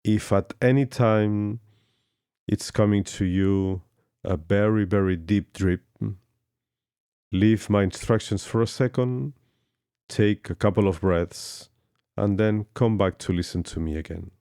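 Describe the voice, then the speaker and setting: Very deep, slow male meditation guide. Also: fairly even intonation, mellow, smooth, no echo, clean studio sound. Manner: slow, soothing instructions with long pauses. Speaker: yoga instructor. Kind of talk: guided yoga nidra meditation.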